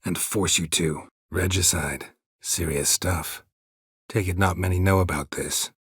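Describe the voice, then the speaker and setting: A man voicing a gruff, world-weary monster hunter in a video game, with a husky rasp and terse, deadpan delivery. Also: low-pitched, unhurried, dry recording, studio quality, heavily acted. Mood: terse, investigative. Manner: terse, deadpan, clipped phrases. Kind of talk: video game character dialogue.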